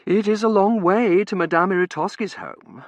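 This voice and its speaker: A narrator voicing a kindly, sensible young woman with a quiet, cultured and polite manner in a storybook reading. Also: medium pitch, expressive, brisk, dry recording, pitched above the performer's own voice. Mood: mildly protesting.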